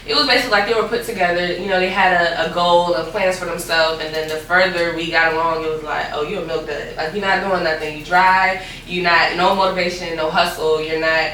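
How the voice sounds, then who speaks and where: A young woman with a bright, forceful voice, talking loudly and laughing as she tells her stories. Her voice sits a little low for a woman, and the room around her is very echoey. She is picked up from some distance away from the mic. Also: steady tone, fairly quick, few pauses, slight background noise. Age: young adult. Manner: loud, laughing, storytelling. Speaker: podcast guest. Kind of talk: casual podcast chat.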